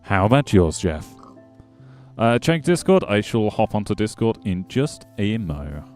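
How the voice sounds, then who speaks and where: Give me a chatty, joking man with a fairly low voice, streaming a game. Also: very animated, measured pace, a little mellow, faint music behind. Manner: chatty, jokey, talks to chat and game animals. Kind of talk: casual gameplay commentary reading chat.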